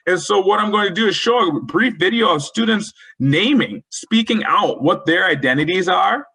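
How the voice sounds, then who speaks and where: A man's voice: sits high, lively intonation, moderate pace, sharp tone, breathy edge, poor audio. A man presenting a professional development webinar for school counselors, talking in an earnest, coaching way and quoting example phrases.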